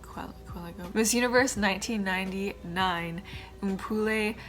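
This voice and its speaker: A woman in her twenties chatting casually to camera as a vlogger, with quiet muttered asides and a slightly stuffed-up nose. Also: medium pitch, moderate pace, faint music behind. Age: 20s. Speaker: vlogger, former pageant contestant.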